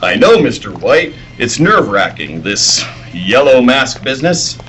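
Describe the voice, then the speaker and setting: A man playing an earnest reporter with a secret heroic side, speaking with deliberate urgency in old-time radio serial style. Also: medium pitch, big pitch swings, a bit bright, faint music behind, low-quality recording. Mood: grave, soothing.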